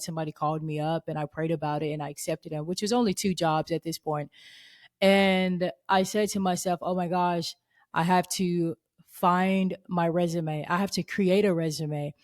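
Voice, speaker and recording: A woman in her thirties hosts a faith podcast, speaking in an earnest, reflective manner. Her voice sits a little lower than most women's. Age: 30s. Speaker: podcast host.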